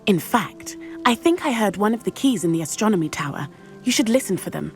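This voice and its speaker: A woman playing an eager, chatty teenage witch in a video game, explaining things in an animated way. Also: medium pitch, a little fast, faint music behind, natural voice. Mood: eager, gossipy. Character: chatty, eager teenage witch student sharing school rumours.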